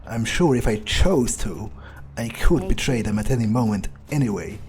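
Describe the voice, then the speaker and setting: A man roleplaying a jaded, ruthless, arrogant vampire in a game, speaking in a halting, dismissive, sighing way. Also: lowish voice, expressive, a little mellow, natural voice. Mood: smug musing. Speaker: video game player roleplaying his character. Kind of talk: in-character improvised playthrough monologue.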